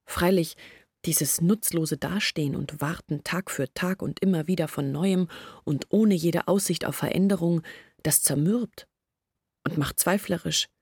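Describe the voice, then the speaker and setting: A woman's voice: on the low side, darkish tone, husky, dry recording, studio quality. An actress playing a young village woman who earnestly confides her family's troubles in a radio play. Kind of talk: German radio play monologue.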